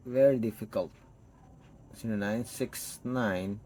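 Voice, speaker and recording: A man's voice: on the low side, takes it slowly, dark tone, a little hiss or hum. A man giving an unscripted, step-by-step hands-on product demo, thinking aloud as he tests. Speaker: tech product reviewer.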